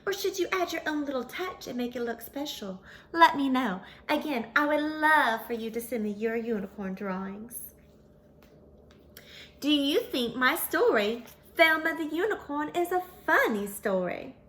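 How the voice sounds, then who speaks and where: A woman's voice: high-pitched, expressive, a little slow, fairly bright tone. A woman voicing a sweet, folksy little female pony, telling her story dramatically and earnestly to children. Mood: friendly, chatty.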